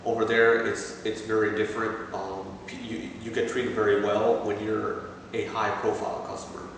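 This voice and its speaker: A man in his thirties from Pakistan, answering interview questions with many pauses and restarts. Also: lowish voice, level tone, distant mic. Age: 30s. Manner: hesitant, many fillers and restarts. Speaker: interviewee, assistant store manager. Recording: echoey room, voice from afar, faint noise.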